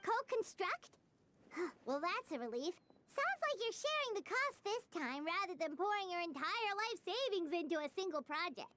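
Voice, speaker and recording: A woman voicing a tiny, childlike fairy companion in a video game, cutesy, excitable and blunt. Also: very high voice, normal speed, slight background noise, full character voice. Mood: surprised, blunt.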